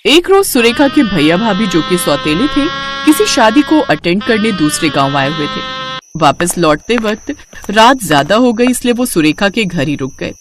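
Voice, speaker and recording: A woman narrating a children's cartoon story in a calm, scripted storytelling manner. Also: mid-range voice, moderate pace, noisy background.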